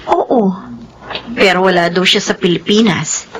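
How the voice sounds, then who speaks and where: Woman as gentle motherly nanny, medium pitch, soothing, earnest, radio drama, slightly noisy recording. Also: measured pace, natural voice. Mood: reproachful. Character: gentle, devoted nanny who mothers her young ward.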